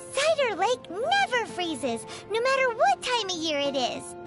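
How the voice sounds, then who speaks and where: A woman voicing a tiny, perky, childlike female companion with a squeaky voice in a video game, music behind her. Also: full character voice. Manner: refers to self in third person, exclamatory. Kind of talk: video game character dialogue.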